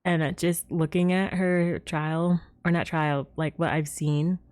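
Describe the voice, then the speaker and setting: A woman with a slightly low, soft-edged mellow voice hosts a gaming livestream. She talks at a very relaxed pace, chatting casually and giggling often as she greets viewers. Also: a little slow. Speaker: live streamer host.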